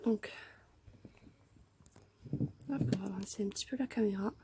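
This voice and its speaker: Hoarse soft-spoken woman with a cold. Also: medium pitch, moderate pace, noisy background. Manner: soft-spoken, often near a whisper, hesitant. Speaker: craft tutorial host. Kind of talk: calm step-by-step craft tutorial.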